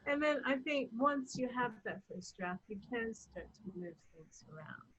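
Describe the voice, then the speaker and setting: A woman with a slightly husky, breath-worn voice talks as a writer in an interview. She thinks aloud, pausing and circling back to rephrase. Laughter comes readily into her speech. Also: fairly high pitch, lively intonation, a bit bright, dull audio. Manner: laughs readily while talking. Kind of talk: reflective interview conversation.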